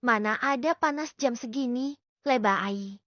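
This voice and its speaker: A woman voicing a shy, polite but gently stubborn young woman in audiobook dialogue. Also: on the high side, unhurried, no room sound. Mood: doubtful. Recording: dull lo-fi dry recording.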